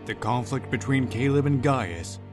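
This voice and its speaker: A man's voice: mid-range voice, expressive, normal speed, music in the background. A man giving scripted narration for the episode with a dramatic recap delivery.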